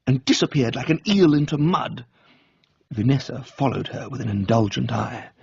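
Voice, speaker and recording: Droll Englishman narrating, breathy and lively. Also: mid-range voice, normal speed, muffled recording. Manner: droll, theatrical, upper-class diction. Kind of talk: comic first-person audiobook narration for radio.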